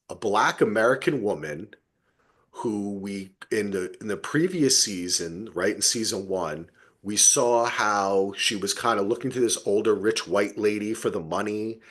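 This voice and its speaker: A man hosting a casual TV discussion podcast, rambling and halting, often checking in with listeners and swearing casually. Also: on the low side, a bit bright. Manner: rambling, halting, checks in with "right?" and "you know". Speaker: podcast host.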